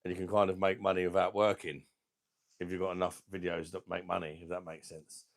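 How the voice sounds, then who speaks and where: A middle-aged man with a deep voice and a sore throat, chatting casually as he hosts a beer channel livestream and reads out viewer comments in a rambling, laid-back way. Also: flat delivery, brisk. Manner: rambling, laid-back, chuckling between remarks. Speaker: beer review channel host.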